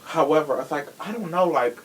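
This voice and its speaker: A man's voice: on the high side, expressive, fairly quick, a little hiss or hum, low-quality recording. A man hosting a podcast in casual true crime chat, sassy and blunt, swearing freely. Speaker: podcast host.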